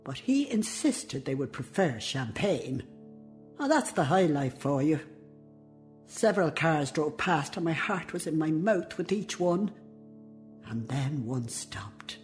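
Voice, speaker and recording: An expressive, homely old Irish woman. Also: low voice, slow, faint music behind, exaggerated voice. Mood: wistful, anticipating. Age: older. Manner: expressive, heartfelt. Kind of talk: radio drama narration and dialogue.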